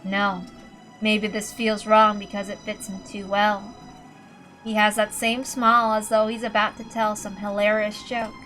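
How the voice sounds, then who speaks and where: A woman narrates a visual novel in a dramatic storytelling style. Her voice sits at a medium pitch. Soft music runs faintly underneath. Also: little pitch movement, measured pace. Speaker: narrator and reader. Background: faint background music.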